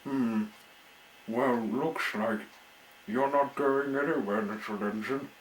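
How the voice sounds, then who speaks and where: A man's voice: mid-range voice, takes it slowly, slight background noise, close to an everyday voice, low-quality recording. A man playing a kindly, reassuring lumber mill owner, a folksy father figure in a children's storybook. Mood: resigned.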